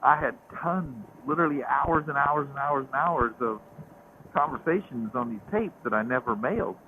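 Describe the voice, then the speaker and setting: A man's voice: mid-range voice, normal speed. A man from the American South with a Southern accent, chatting casually and telling stories while riding a motorcycle. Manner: rambling, storytelling. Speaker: vlogger. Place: outdoors on a motorcycle. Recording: tinny intercom with faint road noise.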